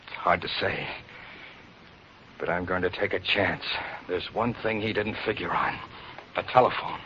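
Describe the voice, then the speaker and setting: A man's voice: low voice, measured pace, pushed and bright, heavily acted. An actor playing a tense, resolute trapped man in a clipped 1940s radio drama style. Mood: hushed, tense. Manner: clipped, urgent, colloquial. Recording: muffled hissy 1940s radio recording.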